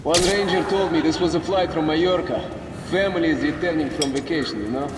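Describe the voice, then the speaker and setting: A male actor plays a gruff Russian-accented wasteland comrade for a video game, pitched high for a man. He is slangy, profane and excitable. The voice rings in a long, hall-like reverb. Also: fairly quick, lightly acted. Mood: wistful. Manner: slangy, swearing, urgent. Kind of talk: video game character acting. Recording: echoey hall, music or noise behind.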